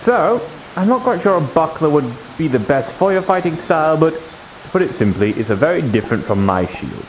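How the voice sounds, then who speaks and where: A man's voice: a little high, sing-song, a little fast, slight background noise, low-quality recording. A man playing a patient, one-eyed shield fighter who explains and cautions, in improvised fantasy roleplay. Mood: patient, instructive.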